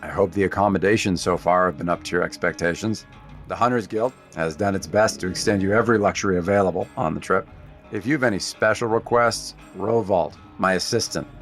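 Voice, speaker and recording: An actor playing a seasoned, world-weary hunter guide in a sci-fi audio drama, speaking in a measured, dry, authoritative way. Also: male voice, deep voice. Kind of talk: audio drama acting with narration.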